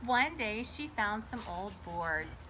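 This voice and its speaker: A woman hosting a children's storybook read-aloud outdoors, chatting in an animated way and putting questions to her young listeners. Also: mid-range voice, takes it slowly, noisy background. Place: garden, sitting outdoors by a small table fort.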